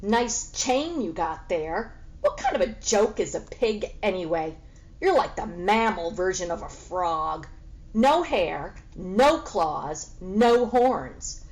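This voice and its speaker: A woman's voice: mid-range voice, expressive, takes it slowly, a little mellow. Woman putting on a haughty, sassy storybook cat. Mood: scornful, mocking. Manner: sassy, grandly refers to herself in third person. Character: haughty, boastful, sarcastic orange female dock cat.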